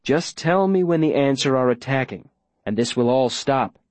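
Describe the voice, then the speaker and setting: A smooth-talking villainous mayor, voiced by a male narrator, formal and measured. He sounds falsely gentle and reasonable over a cold menace, in a dry audiobook recording at a middling pitch. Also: expressive, no room sound, lightly acted. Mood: feigned concern.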